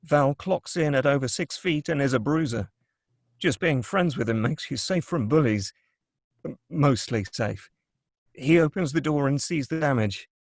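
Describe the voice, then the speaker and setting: A man's voice: mid-range voice, expressive, normal speed, dry recording, clean studio sound. A man narrating a screenplay, reading out scene headings and stage directions. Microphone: close, dry microphone.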